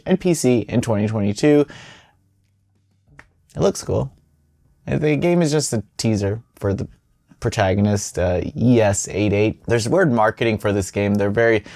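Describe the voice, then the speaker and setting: A man's voice: mid-range voice, no room sound, studio quality. A man hosting video game news in an informal, wry commentary style.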